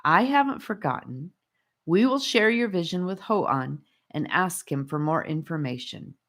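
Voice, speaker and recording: A woman's voice: lowish voice, lively intonation, a little slow, a bit bright. A woman voicing a kind, patient male guide in a storybook, friendly and reassuring like a parent.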